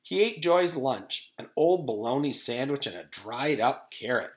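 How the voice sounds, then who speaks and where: Animated man reading a children's story. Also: mid-range voice, a little slow. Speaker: teacher reading aloud to pupils.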